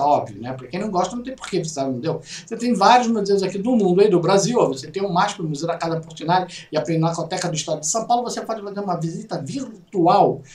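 A middle-aged Brazilian man with a Brazilian Portuguese accent, casually reading and joking through gaming news on a home webcam vlog. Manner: chatty, jokey asides, lively swings in pitch. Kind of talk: casual news commentary over screen share. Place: small room at home. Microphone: webcam in corner. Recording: roomy, distant from mic.

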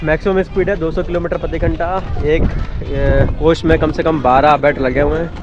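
A man's voice: a little high, fairly even intonation, normal speed, dull audio. A man in his thirties from India, chatting casually to viewers as he vlogs a train journey, filmed on a handheld action camera. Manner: chatty, addresses viewers as brother. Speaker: travel vlogger. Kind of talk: casual travel vlog narration. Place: train and outdoor station platform. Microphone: action camera held at arm's length. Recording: loud train and outdoor noise.

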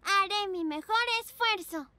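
A woman voicing a cheerful, eager little girl pug puppy in a preschool cartoon, childlike and excitable. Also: very high-pitched, measured pace. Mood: unsure, hopeful. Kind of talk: preschool cartoon dub acting. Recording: loud music behind the voice.

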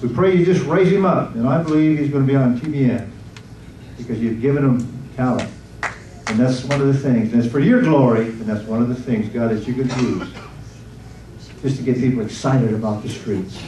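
A man's voice: mid-range voice, brisk, mellow, slight background noise. A man preaching and praying to a congregation in a fervent, folksy way with lots of repetition. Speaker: pastor. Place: church hall. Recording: echoey room from far off with crowd.

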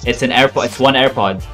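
A man's voice: mid-range voice, lively intonation, normal speed, creaky, fairly bright tone. Young man joking over background music. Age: young adult. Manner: giggly, slangy, repeats himself. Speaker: host. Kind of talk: casual joking group chat, reacting to pictures. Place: small room at home, indoors.

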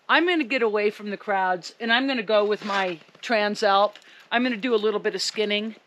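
A woman's voice: medium pitch, background noise. A woman ski coach speaking emphatically to camera outdoors in the snow. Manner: emphatic, upbeat, loud. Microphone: camera a few meters away.

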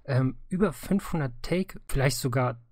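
A man's voice: mid-range voice, moderate pace, darkish tone, no room sound, clean studio sound. A man conducting a casual interview in a conversational way, putting questions to his guest.

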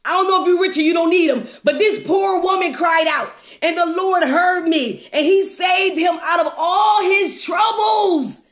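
A woman delivers an exuberant devotional sermon in a strikingly high-pitched voice. She exhorts fervently, repeating phrases and putting questions straight to her listeners. The sound is roomy, with a clear echo around her words. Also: unhurried, few pauses. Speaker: preacher leading a livestream devotional. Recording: echoey, reverberant room.

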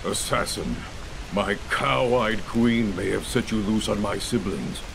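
A man's voice: lowish voice, measured pace, gravelly, noisy background. A man voicing a regal, menacing god-king villain in a video game, with pompous, declamatory delivery. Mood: cold menace.

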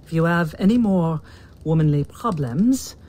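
Stern, mellow-voiced colonial gentlewoman. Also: deep voice, lively intonation, a little slow. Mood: dryly knowing. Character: stern, shrewd colonial gentlewoman, a protective stepmother. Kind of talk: video game cutscene acting. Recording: faint music behind the voice.

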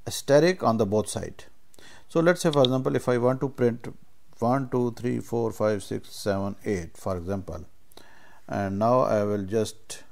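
A man giving an informal step-by-step software tutorial as instructor, speaking haltingly and often checking in with short tags. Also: medium pitch, vocal fry. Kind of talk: informal screen-share tutorial, explaining step by step.

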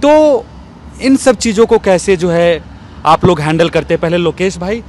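A man in his thirties interviewing conversationally, with halting, reflective and roundabout phrasing. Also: high voice, a little mellow. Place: outdoors in an open courtyard. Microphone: handheld small microphone. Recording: faint outdoor street background noise.

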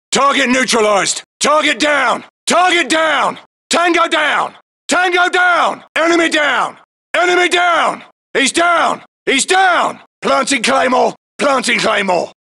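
An actor playing a tough British SAS soldier in a military shooter game, yelling urgent, clipped battle callouts. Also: male voice, very high-pitched, creaky, full character voice.

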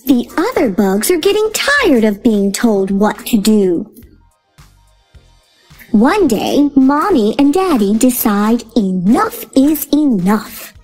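A woman reading a children's picture book aloud in a slow, lilting storytelling voice. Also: fairly high pitch, very animated, a little mellow, soft background music. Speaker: storybook reader. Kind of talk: children's read-aloud narration.

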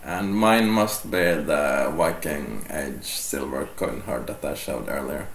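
Slow-talking gravelly man. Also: extremely low pitch. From Finland. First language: Swedish. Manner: relaxed, slow, reads out comments. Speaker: co-host of a live stream. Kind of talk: casual live stream chat, reading viewer comments. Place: small indoor room, seated on a sofa. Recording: roomy with faint ambient noise.

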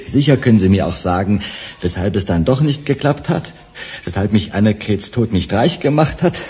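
A man's voice: on the low side, expressive, moderate pace, dark tone, breathy edge. An actor playing an agitated, mocking male murder suspect in a German radio crime drama. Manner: sarcastic, laughing derisively.

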